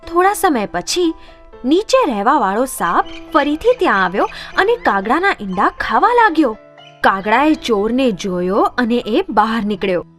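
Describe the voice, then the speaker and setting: A woman narrating scripted moral stories for children. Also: a little high.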